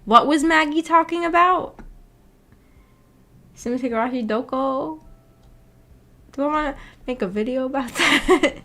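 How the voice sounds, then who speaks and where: Higher-voiced, giggly woman streamer. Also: unhurried, pauses often, fairly bright tone. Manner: giggly, rambling. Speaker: streamer hosting a book club discussion. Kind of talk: casual livestream chat.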